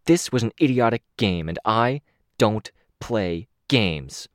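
A man's voice: on the low side, expressive, takes it slowly, dry recording, clean studio sound, voice pushed up. A man reading an audiobook, voicing a cold, menacing young woman who used to kill people, with a hard, clipped voice.

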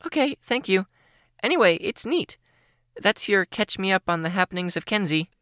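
Reader doing a chirpy talkative teen girl, high and lively, rushing bursts of words, dry audiobook sound. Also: no echo, close to an everyday voice. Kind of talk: audiobook character voice.

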